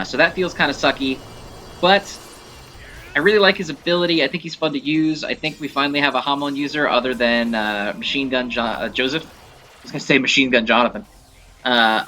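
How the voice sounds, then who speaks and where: A man giving casual, rambling commentary on gameplay in an informal, animated way. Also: medium pitch, slight background noise. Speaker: gameplay showcase host.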